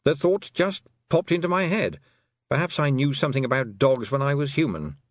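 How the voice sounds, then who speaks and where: A man's voice: medium pitch, lively intonation, normal speed, no echo, voice pushed up, natural voice. A man voicing a dry, matter-of-fact talking male toad with a lawyerly manner, in an audiobook. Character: pedantic male talking toad, formerly human. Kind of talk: audiobook character voice.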